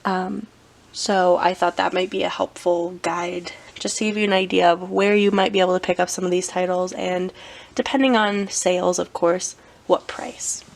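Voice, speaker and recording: Woman with a cold, gravelly voice. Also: on the low side, level tone, normal speed. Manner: chatty, with frequent ums and self-corrections. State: has a cold. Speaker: collector showing her anime collection. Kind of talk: casual show-and-tell vlog.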